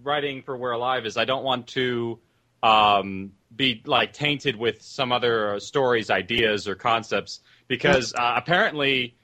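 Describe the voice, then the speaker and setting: Casual male panelist, bright voice. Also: medium pitch, measured pace. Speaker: writer-producer panelist.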